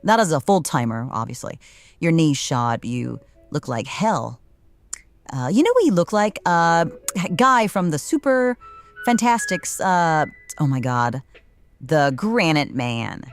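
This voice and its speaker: An actress playing a smirking, cruel wrestling boss in a noir audio drama, with a taunting, theatrical manner. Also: female voice, low-pitched, sing-song, a little slow, heavily acted. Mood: mocking. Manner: taunting, theatrical, hums while eating.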